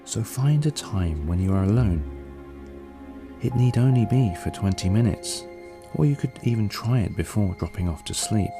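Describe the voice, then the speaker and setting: A man narrating a scripted guided meditation in a calm, unhurried, soothing way. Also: on the low side. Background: soft background music.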